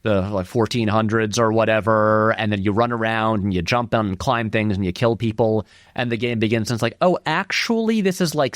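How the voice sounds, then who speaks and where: A man's voice: fairly low pitch, no room sound, clean studio sound. A man giving casual, discursive film commentary as an interview guest, explaining animatedly and peppering his talk with "like". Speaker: interview guest, filmmaker and video essayist.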